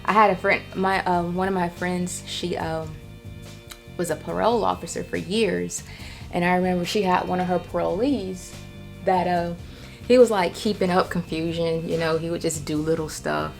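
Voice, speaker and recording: A woman vlogging casually and reflectively, sounding nervous, with halting speech that circles back and repeats phrases for emphasis. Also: on the low side, fairly even intonation, vocal fry, faint music behind. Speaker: vlogger, writer and storyteller. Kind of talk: casual, reflective vlog talk.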